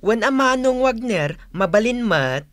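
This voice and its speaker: A woman's voice: a little high, lively intonation, measured pace, dry recording. A woman voicing a cheeky, plaintive younger sibling at a food stall, wheedling with an Ilocano accent in a radio drama.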